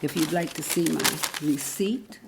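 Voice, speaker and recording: Halting, gravelly elderly woman at a podium. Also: deep voice, very noisy. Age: 80s. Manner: halting, earnest, searching for words. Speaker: resident giving public comment. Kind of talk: public comment at a council meeting. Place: council chamber podium.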